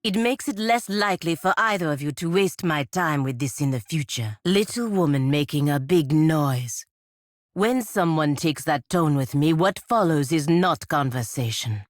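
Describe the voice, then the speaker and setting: A woman's voice: deep voice, lively intonation, moderate pace, no room sound, studio quality. A woman playing a proud, impatient godlike warrior in a video game, with a sardonic, cutting manner. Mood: dismissive, then threatening. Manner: disdainful, sardonic, clipped and cutting. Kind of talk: video game character dialogue.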